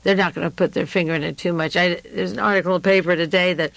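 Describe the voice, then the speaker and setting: A woman hosting a radio show, delivering a rambling political monologue with frequent pauses. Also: lowish voice, fairly even intonation, vocal fry, fairly bright tone, no echo.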